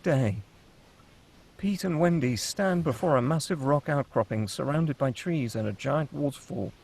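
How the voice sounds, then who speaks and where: A man with a fairly high voice narrates a screenplay. He reads action lines and scene headings as scripted storytelling. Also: a little mellow, poor audio. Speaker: stage-direction narrator.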